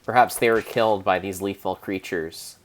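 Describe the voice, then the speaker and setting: Deep-voiced man in mock-dramatic narration. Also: steady tone. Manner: solemn, theatrical, with pauses and small slips. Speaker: game streamer narrating play. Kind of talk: mock-dramatic storybook narration over gameplay.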